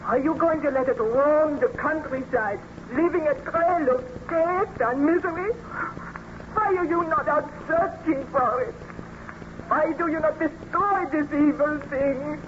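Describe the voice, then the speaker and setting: A woman's voice: fairly high pitch, measured pace, close to an everyday voice. An actress playing a passionate, reproachful young woman in love with a baron, in a melodramatic old-time radio drama. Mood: outraged. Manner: melodramatic, formal declamation. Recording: dull, noisy old radio recording.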